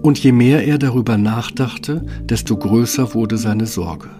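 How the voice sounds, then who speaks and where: A man's voice: mid-range voice, unhurried. A man narrating a scripted fairy tale as the storyteller of an audio play. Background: instrumental music.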